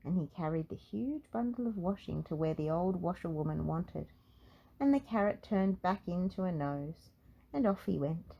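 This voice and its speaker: A woman narrating a children's storybook in an expressive read-aloud style. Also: fairly low pitch, moderate pace, vocal fry, muffled recording.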